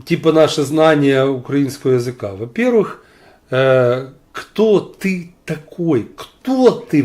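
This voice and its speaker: A man's voice: a little high, a little mellow. A man from Ukraine arguing on a video chat stream, blunt and mocking with sarcastic asides. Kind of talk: argumentative online video chat.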